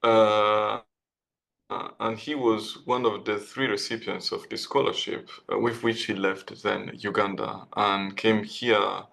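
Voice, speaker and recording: Male guest author. Lowish voice with heavy fry, conversational, hesitant, wandering answers. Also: level tone, unhurried, a bit bright. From Italy. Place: online video call.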